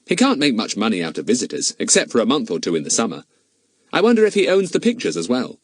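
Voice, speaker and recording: Man playing a polite, cheeky schoolboy, animated. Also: mid-range voice, quick pace, husky, dry recording, studio quality. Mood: shrewd. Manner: polite, mock-innocent, talkative. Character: clever, cheeky, politely confident schoolboy sleuth.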